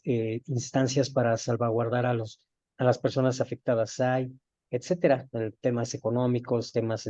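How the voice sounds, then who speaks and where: A man's voice: mid-range voice, dark tone, no room sound. A man giving a presentation in an online class, hesitant with frequent filler sounds. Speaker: course participant presenting. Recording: dull, dry video-call audio.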